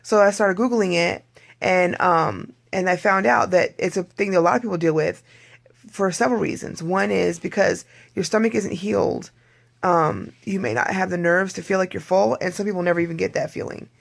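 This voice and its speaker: An adult vlogger with a hoarse voice, talking in a rambling, candid video diary and clearing their throat often. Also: medium pitch, moderate pace.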